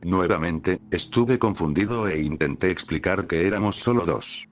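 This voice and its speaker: Deep-voiced man narrating horror stories. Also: moderate pace, slight background noise.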